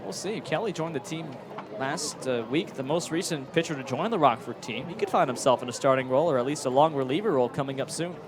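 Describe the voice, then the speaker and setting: A man's voice: a little high, fast. A man giving analytical baseball commentary as a color commentator. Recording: clear stadium crowd noise behind. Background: ballpark crowd.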